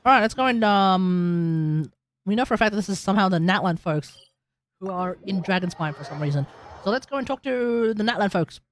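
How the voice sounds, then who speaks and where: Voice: medium pitch, expressive, a little fast. A game streamer talks casually through live gameplay. The delivery is playful, full of exclamations and muttered asides, with a little noise in the background. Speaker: game livestreamer. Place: livestream with game audio.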